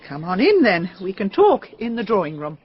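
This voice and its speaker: An actress playing a brisk, kindly crime novelist, politely prompting in a radio drama. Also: female voice, mid-range voice, huge pitch swings, husky, muffled recording.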